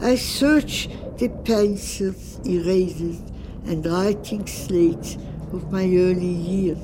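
Low-voiced woman reciting poetry slowly. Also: background noise. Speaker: author reading own poetry. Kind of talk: slow poetry recital in radio drama. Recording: radio production with music underneath. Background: music.

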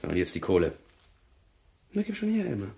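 A brutish crook from a crime radio play, with a low voice that swings widely. He taunts and sneers, gloating and menacing. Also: huge pitch swings, brisk, creaky, warm tone, a little hiss or hum, exaggerated voice. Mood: gloating, mocking. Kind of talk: German crime radio drama acting.

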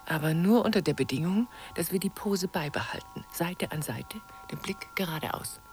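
A flirtatious, yearning female portrait sitter in a radio drama, played by an actress. She speaks intimately with a very lively pitch range, over music. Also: normal speed, a little hiss or hum, close to an everyday voice. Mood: yearning, coaxing. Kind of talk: German radio play acting.

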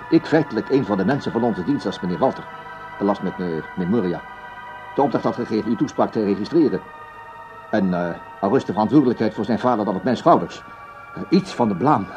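An actor playing a flustered, fawning broadcasting boss in a radio play, stammering and turning snappish with orders. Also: male voice, on the low side, expressive, fast, a little mellow, lo-fi sound, soft background music, close to an everyday voice. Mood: fawning, apologetic. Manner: stammering and obsequious, snapping when giving orders. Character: flustered, fawning male broadcasting boss who barks at staff.

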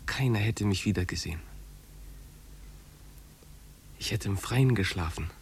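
A dreamy runaway boy, hungry for adventure, in a fifties radio play. The voice is husky and unpolished, with fervent, pleading delivery. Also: on the low side, quick pace, heavily acted. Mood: dreamy longing. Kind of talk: 1950s German radio drama acting. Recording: 1950s radio production.

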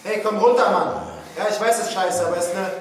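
A man's voice: high voice, quick pace, close to an everyday voice. An actor playing a tense, insistent male prison guard with an official manner in a naturalistic radio drama. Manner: insistent, procedural, escalating. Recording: distant, very echoey hall, faint noise.

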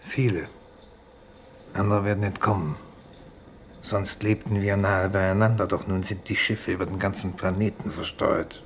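Resigned but unbending exiled colony doctor: very low, gravelly, measured delivery, vintage radio drama. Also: male voice, steady tone. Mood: resigned calm. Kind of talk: 1950s German radio play acting. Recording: faintly noisy 1950s radio recording.

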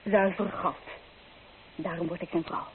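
On a thin, hissy old radio recording, an actress plays an earnest, proper young bride-to-be, loyal and gently reproving. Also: female voice, on the low side, steady tone, measured pace, phone-quality sound, natural voice. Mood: earnest, resolute. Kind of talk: radio play acting.

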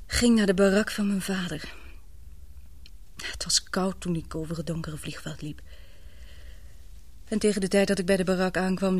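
An actress playing an anxious but brave, tender young woman in a radio drama, with breathy, emotional, hesitant delivery. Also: fairly low pitch, dry recording.

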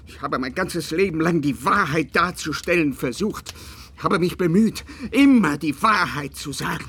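An actor playing a drunken, self-pitying old painter in a German radio drama, speaking in a theatrical, declamatory way. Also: male voice, a little high, moderate pace, a bit bright, breathy edge. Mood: indignant. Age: older. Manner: theatrical, declamatory, emotionally volatile.

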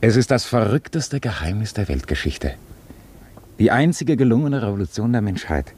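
Radio drama: a kindly, reflective priest, very low voice, faint rumbling noise on the recording. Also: male voice, sing-song, normal speed, a little mellow. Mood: earnest, reflective. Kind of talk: German radio play acting.

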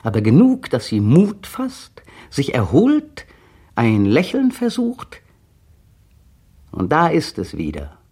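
Brooding, resentful husband, precise inner monologue, slow and husky, 1960s radio drama. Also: male voice, mid-range voice, huge pitch swings, mellow, exaggerated voice. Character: bitter, cold adult man resenting his partner. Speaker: male protagonist narrating inner monologue. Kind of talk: 1960s radio play acting.

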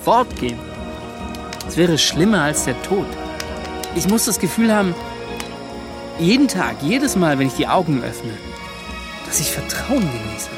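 An earnest young adventurer in a radio drama, excitable and impassioned. His voice sits fairly high and he leans emphatically on his phrases, with music playing underneath. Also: a little slow, long pauses. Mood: fervent, moved. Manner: impassioned, emphatic, breaks into short repeated questions. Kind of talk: German radio play acting.

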